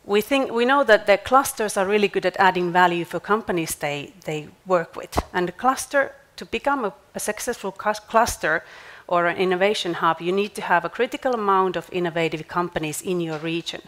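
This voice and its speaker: A woman, giving a measured conference pitch on stage and restarting words now and then. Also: a bit bright. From Finland. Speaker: startup accelerator CEO.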